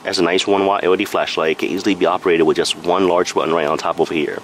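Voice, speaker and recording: Man, lowish pitch, casual product reviewer, explanatory, matter-of-fact, some background hum. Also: level tone, fast talker.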